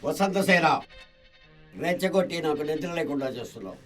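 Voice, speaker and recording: An actor playing a boastful, foolish, lecherous villain in a radio play, theatrical and insistent. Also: male voice, a little high, sing-song, brisk, fairly bright tone, far from the mic, faint music behind. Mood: menacing pursuit. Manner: theatrical, self-important, insistent. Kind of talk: radio play acting in Telugu.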